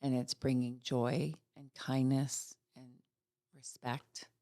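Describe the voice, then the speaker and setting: Middle-aged woman, podcast guest, positive and sincere, light gravel in the voice. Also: very deep voice, little pitch movement, slow pace. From Virginia. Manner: warm, upbeat, heartfelt. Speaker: financial executive guest. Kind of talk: casual interview chat.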